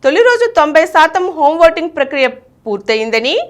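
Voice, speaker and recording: A woman's voice: sits high, big pitch swings, brisk. A woman reading scripted news stories as a news reader.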